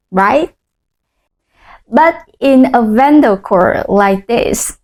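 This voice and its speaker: Vietnamese-accented woman teacher, high voice, slow and clear, leads repeat-after-me drills. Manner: slow and clear, modelling phrases for repetition. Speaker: language teacher. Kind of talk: language lesson.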